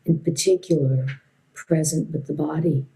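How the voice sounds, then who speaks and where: A woman teaching a guided meditation in a gentle, unhurried way with long pauses. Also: deep voice, fairly even intonation, poor audio.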